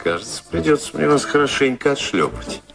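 An actor playing a suave, self-assured rake who teases and woos in a radio drama. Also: male voice, medium pitch, sing-song, fairly quick, gravelly, fairly bright tone, faint music behind. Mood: playful. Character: teasing, self-assured charming rake wooing a woman.

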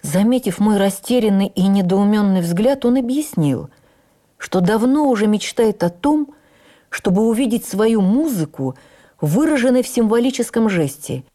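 An actress playing a stage actress who recalls her youth with admiring wonder, in measured, literary, expressive radio narration. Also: mid-range voice, natural voice. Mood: reflective, admiring. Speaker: actress reading a memoir on radio. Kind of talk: dramatic first-person memoir narration for radio.

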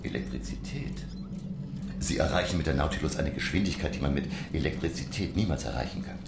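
Very deep, gravelly learned professor narrator. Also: male voice, animated, a little fast, noisy background, full character voice. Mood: curious, probing. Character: learned male professor, curious guest narrating his undersea adventure. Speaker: narrator and character. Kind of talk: radio play narration.

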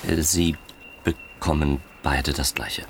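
An actor in a German radio play voicing a meek, flustered official who speaks hesitantly and apologetically. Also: male voice, a bass voice, steady tone, slow, vocal fry, a bit bright, slight background noise, exaggerated voice. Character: meek, flustered male foundation functionary.

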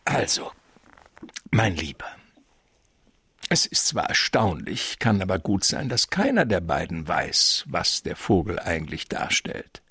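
An actor playing a smug, scheming gentleman crook in a radio crime drama, with a gravelly, husky voice and a pompous, drawn-out, mockingly courteous manner. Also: sits low, very animated, no room sound, heavily acted. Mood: smug, amused.

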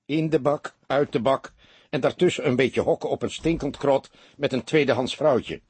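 A man's voice: medium pitch, normal speed, a little mellow, husky, voice pushed up. A man voicing a wry, fatherly police detective in an audiobook, teasing and lecturing in a dry manner. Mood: mocking.

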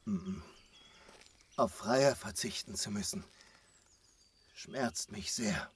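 An actor playing a lovesick but stubborn giant with a husky voice in a fantasy radio drama. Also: male voice, lowish voice, takes it slowly, slight background noise. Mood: sorrowful.

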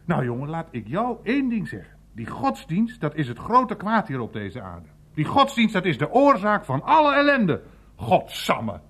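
Grumbly older uncle in a radio play, blunt n coarse, pitch swings all over, bit high. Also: male voice, wildly swooping pitch, normal speed, a bit bright, husky, heavily acted. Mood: incredulous, indignant. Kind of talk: naturalistic radio play dialogue.

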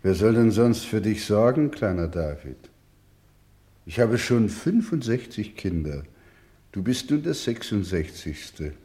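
A weary, gentle orphanage doctor in a radio drama. His voice is deep and unhurried, grave yet tender. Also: sing-song. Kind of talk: German radio play acting.

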